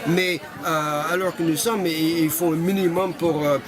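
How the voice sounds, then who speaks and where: An older man from Canada answers questions on a busy street, his slightly high voice full of pauses and restarts. A crowd murmurs loudly behind him. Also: fairly even intonation. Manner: hesitant, many fillers and restarts. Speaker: interviewee, association founder. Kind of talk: street interview. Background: crowd noise.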